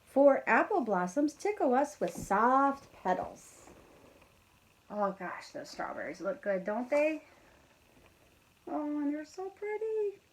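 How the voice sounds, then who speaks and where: A woman with a fairly high voice reads a picture book for young children. She is expressive and encouraging, speaking straight to her listeners in the manner of a teacher, with casual, chatty comments. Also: takes it slowly, long pauses, poor audio. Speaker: teacher reading a bedtime story to pupils. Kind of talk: animated children's read-aloud with casual chatty asides.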